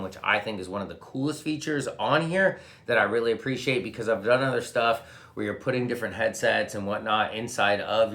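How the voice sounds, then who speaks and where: A man's voice: on the low side, a bit bright. A man giving a casual hands-on gadget review as host, speaking enthusiastically in informal slang with punchy interjections.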